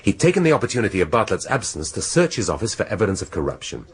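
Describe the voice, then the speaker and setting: A shrewd English police inspector in a radio drama. His voice is fairly low and swings widely in pitch. He lays out his case in deliberate, rhetorical phrases with theatrical pauses. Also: sing-song. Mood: musing, explanatory.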